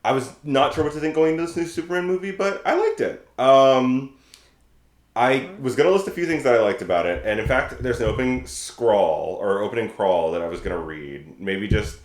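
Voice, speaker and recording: A man's voice: mid-range voice, animated, a little fast. A man speaking as a podcast co-host in casual chat, earnest and opinionated. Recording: echoey room.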